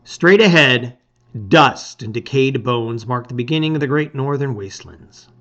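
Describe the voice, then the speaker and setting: A man's voice: medium pitch, moderate pace, a bit bright, muffled recording. A man reading a gamebook aloud as a dramatic story, with theatrical, emphatic phrasing.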